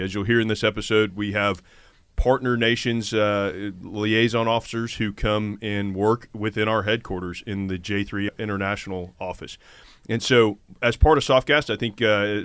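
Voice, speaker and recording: A man's voice: fairly low pitch, a bit bright, smooth, dry recording. An American man hosting a conversational podcast interview in an informal, encouraging way. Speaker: podcast host, army sergeant major.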